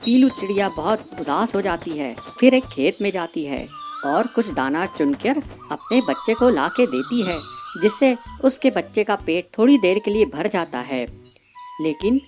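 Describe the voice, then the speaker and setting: A woman's voice: medium pitch, sing-song, measured pace, darkish tone, soft background music. A woman narrating a scripted children's cartoon fable as storyteller.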